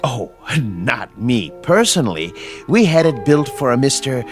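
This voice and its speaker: A man's voice: medium pitch, very animated, a little slow, music in the background. A man acting in a radio drama as a genteel, fussy, unflappable caretaker with courteous, old-fashioned manners. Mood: genteel, chatty.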